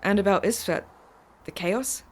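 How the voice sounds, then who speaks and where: An actress in an audio drama playing a mysterious woman driver who is calm, insistent and reassuring. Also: medium pitch, moderate pace, a little hiss or hum, lightly acted. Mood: earnest, knowing.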